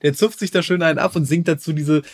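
A man's voice: fairly high pitch, steady tone, fast. A man interviewing a guest in a chatty, conversational way, asking follow-up questions.

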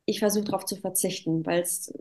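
A woman's voice: fairly low pitch, fairly even intonation, moderate pace, darkish tone, faint music behind. Reflective woman chatting as an interview guest. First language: German. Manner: reflective, filler-laden with frequent "ne" tags. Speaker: interview guest, self-employed business owner. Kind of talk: casual conversational interview. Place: at home.

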